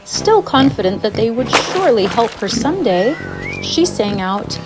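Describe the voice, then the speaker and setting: Expressive woman storyteller over music. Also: on the high side, moderate pace. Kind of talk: scripted children's fairytale narration. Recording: music behind the narration.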